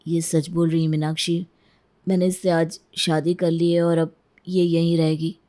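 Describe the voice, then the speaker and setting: A woman's voice: low-pitched, flat delivery, normal speed, dark tone, pitched below the performer's own voice, natural voice. A woman voicing a guilty, defensive husband who speaks curtly. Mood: flat. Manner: curt, tense and defensive.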